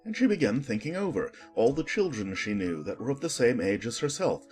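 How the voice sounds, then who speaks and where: A man reading scripted storybook narration in an expressive storytelling manner. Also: medium pitch, fairly quick, faint music behind.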